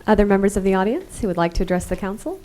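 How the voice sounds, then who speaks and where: A woman's voice: mid-range voice, lively intonation, very fast, mellow, a little hiss or hum. A woman chairing a council meeting as mayor, thanking speakers and inviting others to speak. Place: public council meeting room.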